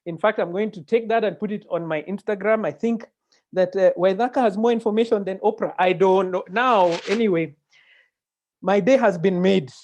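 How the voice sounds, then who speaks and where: A man with a Kenyan accent moderating a webinar conversationally, animated and given to digressions and interjections. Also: high-pitched, normal speed. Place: indoor room, video call. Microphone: earphone mic on video call. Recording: dull, lo-fi video-call audio.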